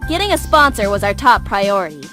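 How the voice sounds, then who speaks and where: A woman playing a bossy, short-tempered young female mech pilot and team leader in an anime-style game, brisk and commanding. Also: medium pitch, very animated, close to an everyday voice. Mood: brisk, businesslike. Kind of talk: anime-style visual novel voice acting. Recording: music behind the voice.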